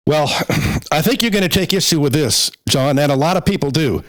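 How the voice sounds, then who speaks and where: A man hosting a podcast, talking casually about sports in a conversational, halting way as he works through a list. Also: fairly high pitch, animated. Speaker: podcast host.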